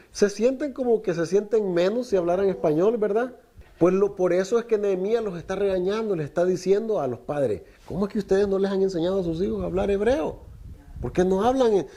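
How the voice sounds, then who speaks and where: A man's voice: high-pitched, fairly quick, warm tone. A middle-aged man whose first language is Spanish, preaching a Torah lesson and asking the listeners rhetorical questions. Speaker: teacher of a Torah portion.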